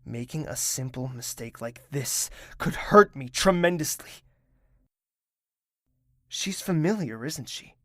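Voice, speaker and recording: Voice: mid-range voice, expressive, takes it slowly, pauses often, fairly bright tone, no room sound, studio quality. A performer voicing a troubled young royal in an anxious, self-doubting inner monologue. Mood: conflicted. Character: troubled royal young woman torn between trust and doubt. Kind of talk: audio drama inner monologue.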